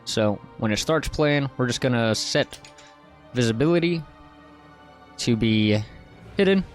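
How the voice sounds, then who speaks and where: A man with a medium-pitched voice hosts a software tutorial. He explains each step informally, in an everyday conversational style. Faint music plays behind his narration. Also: lively intonation, a little slow. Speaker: tutorial host.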